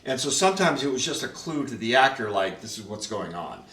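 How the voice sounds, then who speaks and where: A man's voice: medium pitch, sing-song, fairly quick, a bit bright. A man co-producing a radio play, chatting casually at a roundtable and animatedly acting out his own past remarks. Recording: echoey room, mic at a distance.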